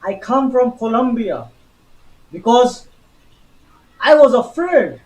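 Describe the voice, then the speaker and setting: A man acting in a stage play as a migrant father who calmly reassures his anxious partner while waiting in line with their papers. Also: very high-pitched, slow, full character voice. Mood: earnest. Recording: echoey stage recording.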